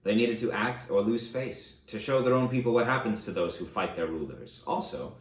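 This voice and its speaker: Male reader as a defiant conqueror-king, low voice, declamatory, self-justifying storybook memoir, echoey room. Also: normal speed, close to an everyday voice. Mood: defiant, grim. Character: proud, defiant conqueror ruler recounting his rise. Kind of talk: dramatic first-person audiobook narration. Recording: roomy, echoey sound.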